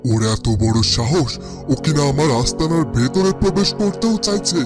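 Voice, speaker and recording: A man's voice: a little high, animated, normal speed, music in the background, lightly acted. A man voicing a proud, impulsive young man in a fairytale cartoon, with declamatory, emphatic, melodramatic delivery. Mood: indignant.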